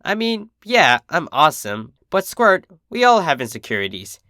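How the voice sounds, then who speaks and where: A man's voice: on the high side, very animated, moderate pace, pushed and bright, no room sound, clean studio sound, voice pushed up. A man voicing a cocky, protective female pegasus, a big sister with a brash, casual, reassuring manner. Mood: confiding.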